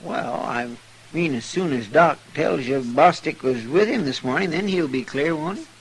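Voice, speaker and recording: An American actor playing an anxious, talkative, loyal deputy in an old radio western, with a rural twang and a rambling, hesitant manner. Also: male voice, a little high, normal speed, breathy edge, rough recording. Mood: earnest, reasoning. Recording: old radio recording, faint noise.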